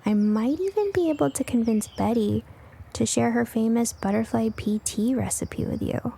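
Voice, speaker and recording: A woman's voice: a little high, moderate pace, vocal fry, warm tone, breathy edge. A woman voicing a friendly girl heroine who invites listeners in an in-character audiobook outro. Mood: chummy, inviting.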